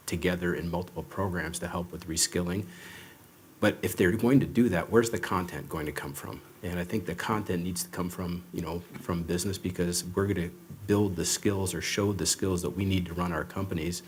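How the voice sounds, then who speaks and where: A man's voice: sits low, slight background noise. A man speaking as a panelist in a panel discussion, fluent and assertive, citing figures.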